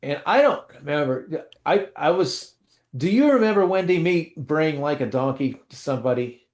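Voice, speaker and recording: A man's voice: on the high side, animated, moderate pace, reverberant. A man co-hosting a casual chat, telling rambling, self-deprecating stories with lots of restarts. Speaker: co-host.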